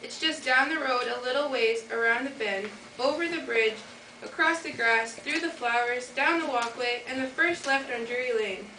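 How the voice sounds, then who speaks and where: A woman's voice: high voice, moderate pace, pushed and bright. A teenage girl playing a wicked witch disguised as a pleading, wheedling old lady in a school fairytale play. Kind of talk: amateur school readers' theater. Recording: echoey noisy hall from far off.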